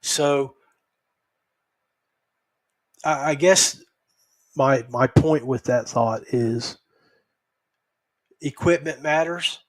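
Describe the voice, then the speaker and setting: Slow-talking American man, halting and folksy. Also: medium pitch. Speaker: podcast host. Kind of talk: casual rambling solo podcast talk.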